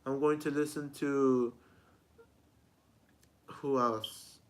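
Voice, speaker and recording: A man's voice: mid-range voice, steady tone, very slow, slight background noise. A man in his twenties giving a rambling, repetitive personal vlog talk, often restarting his sentences as a music reviewer. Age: 20s.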